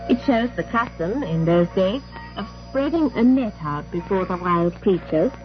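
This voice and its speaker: A woman presents a scripted 1960s radio broadcast in a formal, carefully enunciated way. Her voice sits at a medium pitch. The recording is a dull, lo-fi shortwave capture with clear music behind it. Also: lively intonation, moderate pace. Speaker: radio announcer and program host.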